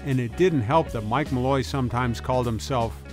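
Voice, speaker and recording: A man's voice: medium pitch, moderate pace. A man reading scripted documentary narration in an informal, folksy way. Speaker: video essayist, narrator. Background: music bed with guitar.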